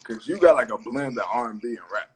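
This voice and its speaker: A man hosting an interview, asking questions in a quick, joking, teasing way. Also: mid-range voice, lively intonation, husky. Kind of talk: casual interview chat.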